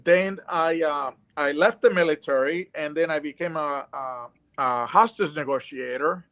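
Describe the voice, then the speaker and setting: A man with a Puerto Rican accent joins by video call, voice fairly high for a man. He talks earnestly and haltingly, stopping often on fillers and restarts. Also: a bit bright. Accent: Puerto Rican Spanish. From Puerto Rico. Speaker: guest. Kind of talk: casual video call interview.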